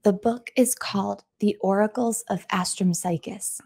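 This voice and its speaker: A woman's voice: mid-range voice, fairly even intonation, vocal fry, dark tone, husky. A woman playing her character in a tabletop game, hesitant and playful. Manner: slow, hesitant and playful, often laughing. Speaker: player.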